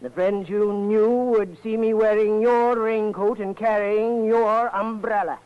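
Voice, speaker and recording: Desperate man driven to murder, old radio drama, tense and polite, highish voice, muffled sound. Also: steady tone, unhurried, smooth. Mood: menacing. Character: desperate, cornered man turned reluctant avenging killer. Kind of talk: 1940s radio drama acting. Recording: dull old-time radio recording.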